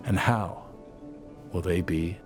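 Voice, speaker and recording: A man speaking as interviewer and narrator, reflective and probing, with measured, deliberate delivery. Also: low-pitched, music in the background.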